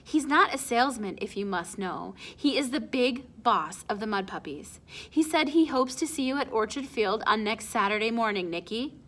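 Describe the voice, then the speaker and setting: A proud, stern working father from a children's storybook , acted out by a woman reader. He speaks in bright, forceful, emphatic declarations and lectures with firm conviction. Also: a little high, expressive, moderate pace, few pauses. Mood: firm, lecturing.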